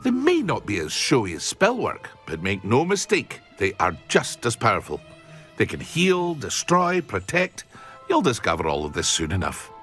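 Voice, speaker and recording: A man's voice: lowish voice, huge pitch swings, moderate pace, fairly bright tone, faint music behind. An actor playing an eager, talkative male potion shopkeeper in a video game. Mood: enthusiastic. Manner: eager, talkative, fond of his craft.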